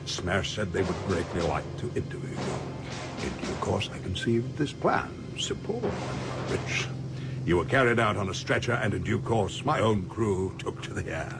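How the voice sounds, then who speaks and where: An actor playing a suave, menacing criminal mastermind who gloats coldly in a radio play. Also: male voice, deep voice, sing-song, normal speed. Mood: cold, gloating. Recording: loud music under the voice.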